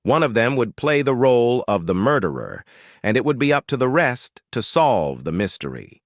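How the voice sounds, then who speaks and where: A man's voice: mid-range voice, sing-song, normal speed, no room sound, clean studio sound. A man narrating a suspenseful mystery story in a dramatic, scripted style.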